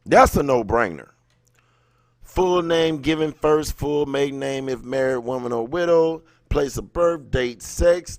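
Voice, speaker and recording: A man's voice: medium pitch, no room sound. Man, dry close sound, reading and commenting. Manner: blunt, colloquial asides. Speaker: livestream host. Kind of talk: reading an article aloud with casual commentary.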